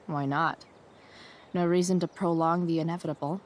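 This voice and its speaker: A woman's voice: low-pitched, steady tone, moderate pace, a little hiss or hum, lightly acted. An actress playing a sarcastic, rebellious teenage girl with a dry, offhand manner in a naturalistic video game drama. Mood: resigned.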